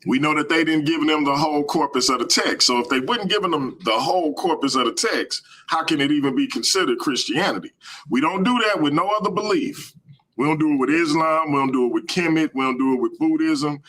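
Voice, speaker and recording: Bright-voiced American man hosting a live stream. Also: a little high, fairly quick. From United States. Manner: emphatic, colloquial, argues point by point. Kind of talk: casual live-stream interview talk.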